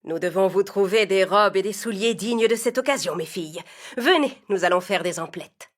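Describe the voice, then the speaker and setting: A woman's voice: mid-range voice, normal speed, lightly acted. A woman playing a cold, domineering stepmother in a children's storybook, with a commanding, disdainful manner. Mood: scheming, eager. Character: haughty, cold, domineering stepmother of adult age.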